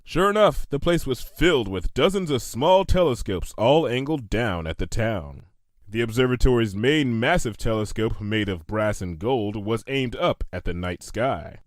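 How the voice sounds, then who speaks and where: Guy narrating a funny fanfic, expressive delivery, super dry close sound. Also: medium pitch, normal speed, no room sound. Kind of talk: scripted storybook narration of comedic fan fiction.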